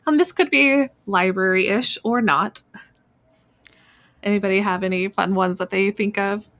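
A woman's voice: medium pitch, measured pace, a bit bright. A woman hosting a librarians' podcast, leading a casual, informal group chat.

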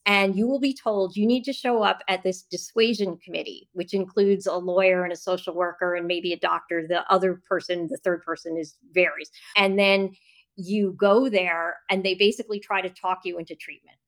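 A woman's voice: lowish voice, fairly even intonation, normal speed, poor audio. A woman interview guest debating and explaining her position in an insistent, argumentative manner. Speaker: guest, author and journalist.

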